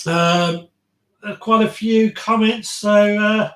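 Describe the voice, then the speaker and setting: A man with a slightly high voice hosts a relaxed gardening phone-in. He is agreeable and hesitant, doubling back on words. The sound is roomy and echoing, as in a shed. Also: takes it slowly. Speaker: podcast host taking phone-ins. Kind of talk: casual gardening chat.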